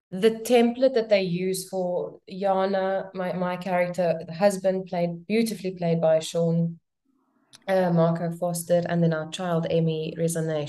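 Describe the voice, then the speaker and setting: A South African woman whose first language is Afrikaans, talking as a guest on a podcast. Her voice sits fairly low, and she speaks thoughtfully, pausing and reworking her sentences as she goes. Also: warm tone. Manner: thoughtful, circling back to rephrase. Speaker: guest actress. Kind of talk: casual podcast interview.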